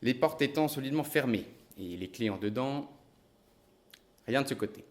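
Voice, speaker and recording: An actor playing a calm, reasoning male detective who speaks in a measured, deliberate way. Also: mid-range voice, close to an everyday voice. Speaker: investigator.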